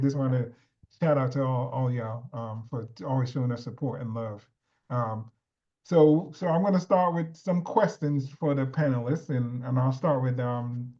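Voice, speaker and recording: Relaxed guy moderating, warm dark voice, kinda muffled call audio, says y'all a lot. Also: medium pitch. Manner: relaxed, filler-heavy, uses y'all. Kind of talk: casual moderating.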